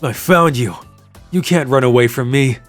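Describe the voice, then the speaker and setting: A man's voice: medium pitch, moderate pace, lightly acted. Casting: man as menacing possessive ex, threatening delivery, manga drama villain, music faintly behind. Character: menacing, possessive ex-boyfriend, a stalker.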